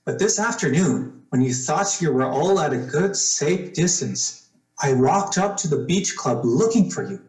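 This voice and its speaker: A man's voice: on the high side, animated, unhurried, a little mellow. Man acting a blunt accuser, roomy echo. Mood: accusing. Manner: blunt, tough. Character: suspicious, confrontational young man, accusing and threatening. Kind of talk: old-time radio suspense drama acting.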